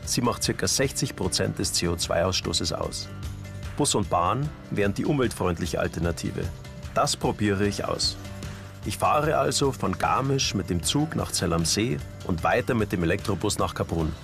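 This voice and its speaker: A man narrating a documentary voice-over in a calm, matter-of-fact way. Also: on the low side, normal speed, vocal fry. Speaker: documentary presenter. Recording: voice-over with music bed.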